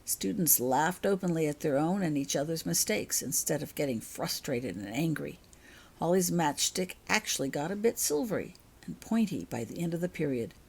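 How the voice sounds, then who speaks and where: A woman's voice: deep voice, animated, moderate pace, slightly rough. A woman reading her own fiction aloud as an audiobook-style story narration.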